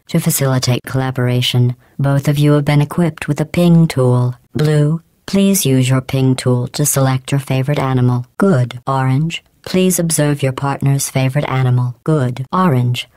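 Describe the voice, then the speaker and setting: Smug robotic lady ai running tests, low and silky, dead dry studio sound. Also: no echo. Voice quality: smooth, deadpan, condescending. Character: cold sarcastic female AI running test facility. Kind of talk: video game voice clips.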